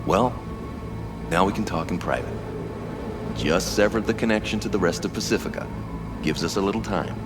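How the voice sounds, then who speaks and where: An actor as a deep, smug agent. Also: male voice, normal speed, pauses often, music in the background, lightly acted. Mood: calm, smug. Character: cool male corporate net agent, smug and persuasive. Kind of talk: video game dramatic acting.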